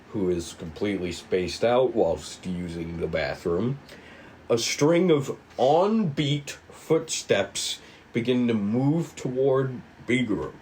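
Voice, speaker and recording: Male script narrator, lowish and very unhurried, dramatic yet informal, slight background noise. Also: darkish tone, rough recording. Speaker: writer and narrator of a table read. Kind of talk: scripted narration of screen directions.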